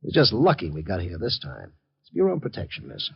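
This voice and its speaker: Gruff, animated radio police sergeant. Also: male voice, sits low, fast, full character voice. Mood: gruff, businesslike. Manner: brusque, demanding. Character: gruff, impatient male police sergeant, hard interrogator. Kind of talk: 1950s radio drama acting. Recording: dull old radio recording.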